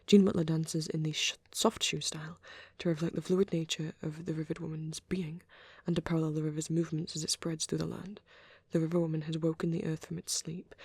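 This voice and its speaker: A soft, husky half-whisper with a creaky edge, belonging to a shy vampire boy played by a man in an ASMR roleplay. He speaks gently and haltingly in a narrow, even pitch, as if rambling to a date. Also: no echo. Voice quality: breathy, often slipping into whisper. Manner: hesitant, halting, gently spoken.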